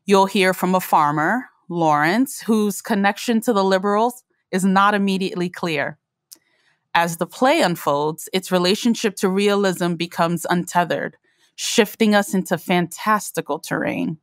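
A woman's voice: mid-range voice, measured pace, dry recording, studio quality. A woman reading scripted narration as the host of an audio program note.

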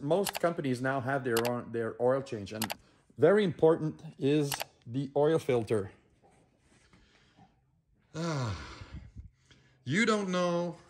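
A man in his fifties hosting a casual how-to shop vlog, talking in a rambling way with many restarts and fillers, filmed on a handheld phone camera in a garage workshop. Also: mid-range voice. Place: indoor garage workshop with ATVs.

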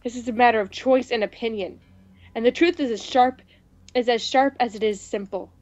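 Woman, fairly high voice, expressive, visual novel read-along, somewhat dull recording. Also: normal speed. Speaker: gaming video co-host. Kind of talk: reading visual novel dialogue aloud, casual game chat.

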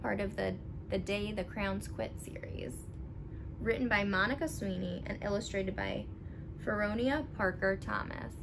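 A young woman reading a picture book aloud expressively, with animated, deliberate emphasis for young listeners. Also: medium pitch. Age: young adult. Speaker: storybook reader. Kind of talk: expressive children's read-aloud. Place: living room at home. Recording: clearly noisy home recording.

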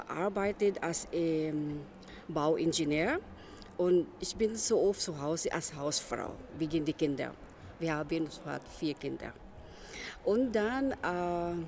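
A woman's voice: fairly low pitch, darkish tone, a little hiss or hum. A woman in her sixties from the Philippines with a Filipino accent, answering interview questions hesitantly with many fillers. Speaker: community president.

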